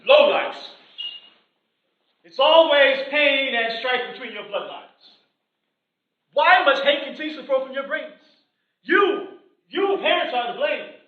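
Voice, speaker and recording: An indignant, scolding theatrical figure, acted by a man in a very high-pitched voice, proclaiming to feuding families. The sound is heavily echoed and distant, as if captured from far back in a theater. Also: lively intonation, takes it slowly, long pauses, full character voice. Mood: angry, scolding. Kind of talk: theatrical stage acting. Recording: echoey distant muffled stage recording.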